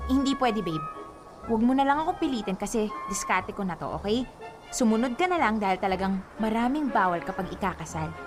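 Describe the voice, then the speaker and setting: A woman's voice: medium pitch, animated, brisk, over music, natural voice. A woman with a Filipino accent playing a superstitious, stubborn young bride-to-be in a radio drama, scolding and insistent. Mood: exasperated, insistent.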